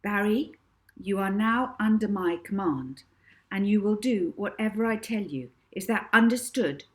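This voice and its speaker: A cunning young orphan girl from a children's storybook, acted by a woman. She speaks calmly and deliberately, with quiet command. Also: medium pitch, pitched above the performer's own voice, natural voice. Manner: soft, slow, commanding. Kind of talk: audiobook character voice.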